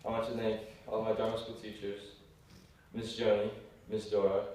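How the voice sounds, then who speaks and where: A young man, around high school graduation age, delivering a prepared thank-you speech as a graduating senior. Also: completely flat delivery, moderate pace, mellow. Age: late teens, young adult. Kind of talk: prepared farewell speech to a congregation. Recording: very echoey hall, distant mic, faint noise.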